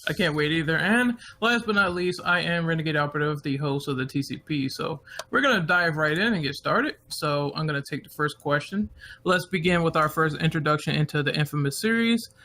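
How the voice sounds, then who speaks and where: A man's voice: on the high side, expressive, brisk, pushed and bright, a little hiss or hum. A man hosting a casual group podcast, upbeat and introducing guests one by one. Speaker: podcast host.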